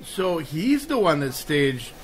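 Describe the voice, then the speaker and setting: An actor playing a wry, teasing male gentleman of leisure, in 1930s-style radio drama acting. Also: a little high, very animated, slow pace, soft background music, low-quality recording. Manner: dry, teasing, urbane.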